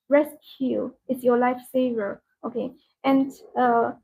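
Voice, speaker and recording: A woman hosting a live product demonstration, speaking in halting, repetitive, non-native phrasing. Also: high-pitched. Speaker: live-stream sales host demonstrating a product. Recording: dull, band-limited live-stream audio.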